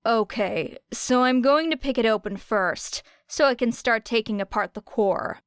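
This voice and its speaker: A woman voicing an awkward, reluctant teenage girl in an audiobook, dry, halting and understated. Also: a little high, clear, resonant tone, no room sound, lightly acted. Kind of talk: audiobook character voice.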